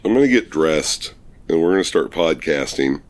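Deep husky man, hoarse from a cold. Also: animated, moderate pace. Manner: laid-back, rambling, self-mocking. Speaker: podcast co-host. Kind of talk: casual podcast chat.

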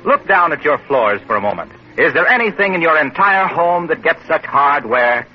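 A man reading a radio commercial as announcer, a smooth persuasive pitchman. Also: very animated, moderate pace, faint music behind. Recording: muffled old radio broadcast.

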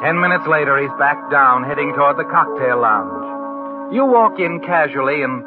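An actor playing a sly, knowing mystery narrator in 1940s radio style, deliberate and insinuating. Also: male voice, a little high, animated, natural voice. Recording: vintage radio, phone-like, music behind. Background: dramatic music.

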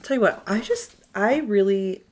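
A woman's voice: medium pitch, animated, normal speed, over a noisy crowd. A woman in her thirties co-hosting a podcast, chatting casually and swearing freely, often trailing off mid-sentence. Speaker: podcast co-host. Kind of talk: casual chat.

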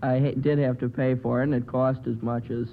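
A male contestant on a radio quiz, answering in a deliberate, tentative, precise way. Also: medium pitch, level tone, creaky. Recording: old radio broadcast, narrow band, faint noise.

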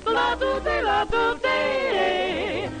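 A woman's voice: very high-pitched, very slow, rough recording. A woman singing jazz with playful scat syllables on a vintage radio broadcast. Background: loud music accompaniment.

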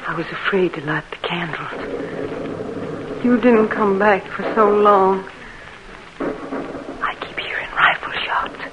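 An actress playing a frightened, aging mother who speaks in a hushed, fearful way, in a 1940s radio drama. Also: medium pitch, takes it slowly, long pauses, lightly acted. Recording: muffled, noisy old radio recording.

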